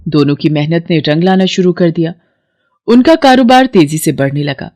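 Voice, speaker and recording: A woman's voice: fairly low pitch, expressive, moderate pace, darkish tone, a little hiss or hum. A woman narrator telling a scripted story in a dramatic storytelling style.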